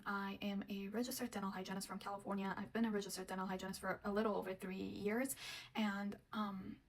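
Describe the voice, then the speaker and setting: A young woman talks straight to the camera, winding through a personal story with lots of "like" and "um". Her pitch sits in the middle range. She keeps restarting her sentences as she goes. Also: darkish tone. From Afghanistan. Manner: rambling, full of fillers and restarts. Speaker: dental hygienist.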